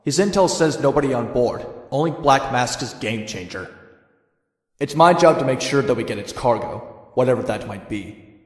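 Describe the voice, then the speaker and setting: An actor playing a cocky, sardonic young vigilante in a motion comic, with wry dialogue and first-person narration. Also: male voice, mid-range voice, expressive, moderate pace, echoey room, in a large hall, natural voice. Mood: wry, businesslike. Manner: wry, wisecracking, dry asides.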